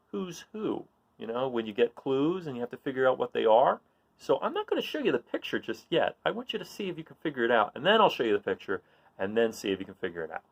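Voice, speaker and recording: A man reading a children's picture book aloud in an informal, expressive way, putting questions to young listeners and encouraging them. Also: mid-range voice, vocal fry. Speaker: read-aloud storyteller.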